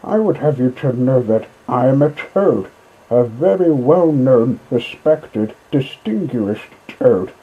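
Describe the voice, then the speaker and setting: A man's voice: mid-range voice, animated, slow pace, breathy edge, narrow, tinny audio, pitched above the performer's own voice. A man voicing a pompous, conceited, hot-tempered toad from a storybook, declaiming indignantly. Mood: indignant, furious. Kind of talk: storybook character voice in an audiobook reading.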